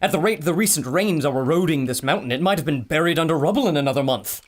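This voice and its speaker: An actor playing an earnest, cautious archaeologist in a pulp adventure audio drama, bookish, polite and excitable. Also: male voice, a little high, quick pace. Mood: formal, pleased.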